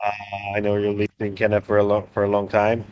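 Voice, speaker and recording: A man with a lowish voice talks in a flat, even way with little rise or fall. He is plain-spoken and steady. The sound is dry and close. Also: fast talker, no room sound, low-quality recording. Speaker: coffee buyer for farmers. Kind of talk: casual interview answers.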